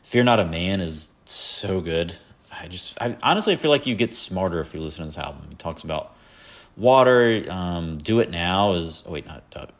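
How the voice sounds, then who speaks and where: A man's voice: sits low, animated, normal speed. A man hosting a solo podcast, chatting casually and rambling about his favorite albums with lots of fillers and self-deprecating asides. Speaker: podcast host.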